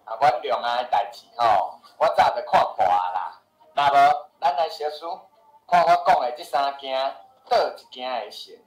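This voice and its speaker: An actor with a Taiwanese accent playing a skeptical, boastful house seller who grumbles and argues in a radio drama. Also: male voice, medium pitch, moderate pace, close to an everyday voice. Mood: resigned, challenging. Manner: scoffing, exclamatory, argumentative. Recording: roomy echo with music behind.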